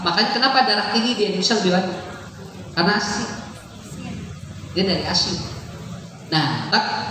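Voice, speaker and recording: Male preacher, Sundanese accent, humorous chatty delivery; distant, reverberant hall recording. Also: sits high. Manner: chatty, joking, mixing in local words, laughs often. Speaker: Islamic preacher. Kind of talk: lively humorous sermon to a congregation. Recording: echoey hall, far from the mic, noisy.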